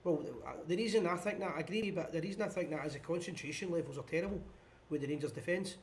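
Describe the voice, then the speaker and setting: A Scottish man hosting a live fan phone-in show, blunt and opinionated in casual chat. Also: fairly high pitch. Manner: blunt, opinionated, quick-talking.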